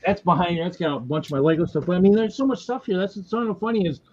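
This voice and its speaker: A man's voice: high voice, fast talker, darkish tone. A man in his fifties, chatting casually on a stream panel in a rambling, joking way. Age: 50s. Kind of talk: casual chat. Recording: dull lo-fi audio.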